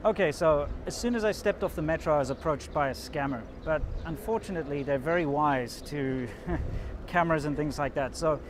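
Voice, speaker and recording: A man's voice: fairly high pitch, fairly quick. A man from England narrating a casual vlog and chatting amiably with strangers. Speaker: vlogger. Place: busy city street outdoors. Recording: loud street noise.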